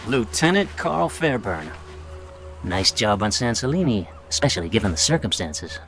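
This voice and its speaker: A wartime intelligence officer with a fairly low voice and a conversational, halting delivery. He comes across as wry and wary, in video game dialogue over faint music. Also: big pitch swings, fairly bright tone. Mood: friendly, measured. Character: wry, wary male American intelligence officer, World War II.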